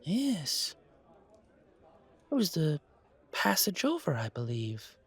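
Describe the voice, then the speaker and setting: A man voicing a courtly, wary, centuries-old vampire in a roleplay audio drama, speaking in a measured, formal, breathy way. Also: on the high side, wildly swooping pitch, full character voice. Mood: amused, polite. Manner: measured, formal, politely dry.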